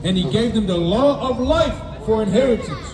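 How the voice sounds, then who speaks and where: A man's voice: high voice, animated, normal speed. A man reading Bible verses aloud in a declamatory way. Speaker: scripture reader. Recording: echoey, distant mic with crowd noise.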